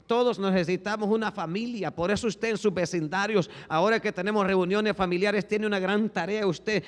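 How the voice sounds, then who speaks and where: A male pastor preaching a fervent sermon, swinging from hushed tones to shouting and repeating "brothers" as a refrain. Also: high voice. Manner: repeats "hermanos" as a constant refrain. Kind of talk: Spanish-language sermon. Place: church sanctuary. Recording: long echo of a large hall.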